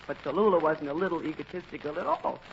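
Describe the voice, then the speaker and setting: A woman's voice: sits low, fast. A woman giving scripted, wry and playful comic narration for a vintage radio show. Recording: muffled, noisy old radio recording.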